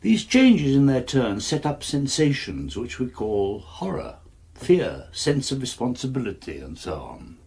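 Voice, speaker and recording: A coolly controlled English medical specialist in a radio play. His voice is husky and mid-pitched, moving widely in tone as he probes and explains in formal, measured, authoritative phrases. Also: big pitch swings, a little mellow, full character voice. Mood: authoritative, explanatory. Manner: measured, formal, clinical, probing. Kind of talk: British radio drama acting.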